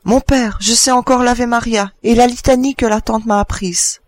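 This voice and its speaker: A frightened little boy, acted by a male narrator in a storybook tale, his voice lifted very high. He pleads tearfully and desperately, like a child begging for mercy. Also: fairly quick, no echo. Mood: timid.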